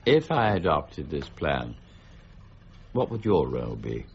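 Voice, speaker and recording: An actor playing a proud, irascible, middle-aged scholar in a period radio drama, speaking formally with grave, clipped intensity. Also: male voice, extremely low pitch, big pitch swings, a little slow, slightly rough, dull audio, full character voice. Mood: dry, formal. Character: brooding, proud, irascible ageing English scholar, stern mint warden.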